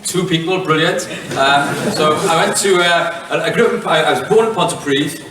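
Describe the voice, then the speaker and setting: A Welsh man in middle age talks to an audience in a relaxed, joking way, his voice a little high for a man. He stops and starts with many fillers. The sound is roomy and heard from a distance, with a faint hum behind him. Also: normal speed, a crowd in the background. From Wales. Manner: chatty, self-mocking, many fillers and restarts. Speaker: head teacher giving a talk. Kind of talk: relaxed public talk with jokes to the audience. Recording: echoey hall, mic from far off.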